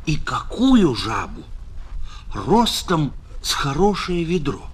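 A man narrating a children's tale in an expressive, dramatic and deliberate storytelling style. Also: a little high, husky.

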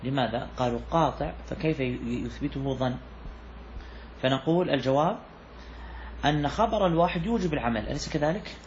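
A man's voice: mid-range voice, lively intonation, fairly quick, slight background noise. Man lecturing on jurisprudence, muffled recording. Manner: repeats key phrases, poses questions to students. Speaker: sheikh teaching Islamic jurisprudence. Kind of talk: classroom lecture explaining a text.

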